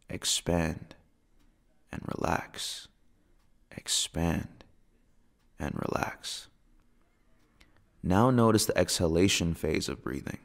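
A man guiding a breathing meditation in a soothing, gentle, measured way with pauses between phrases. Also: low voice, vocal fry. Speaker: meditation guide.

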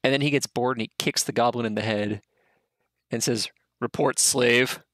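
A man's voice: mid-range voice, steady tone, fairly quick, fairly bright tone, dry recording, studio quality. A man in his thirties narrating a tabletop game as game master over a video call, rambling with lots of fillers. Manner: rambling, filler-heavy, often laughing.

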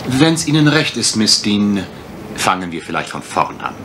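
A polite gentleman investigator in a vintage mystery drama. His low voice moves with lively intonation as he probes formally, under clear recording noise. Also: normal speed. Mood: patient, probing. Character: courteous gentleman investigator, measured and patient. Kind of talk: 1980s German mystery radio play acting. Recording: noisy old radio drama recording.

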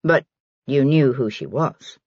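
Lady doing a young lord for an audiobook, low husky voice, polite, quietly probing. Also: animated, no room sound, dull audio, exaggerated voice. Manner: measured, polite questioning.

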